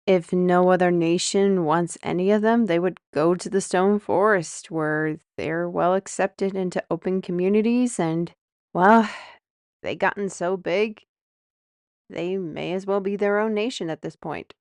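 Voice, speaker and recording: Lady playing a sassy outspoken steward gal in a fantasy audio rp, lowish voice, no room sound. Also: measured pace, darkish tone, smooth, no echo, clean studio sound. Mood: explanatory. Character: defiant, sharp-tongued woman steward named Victoria. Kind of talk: fantasy audio drama acting.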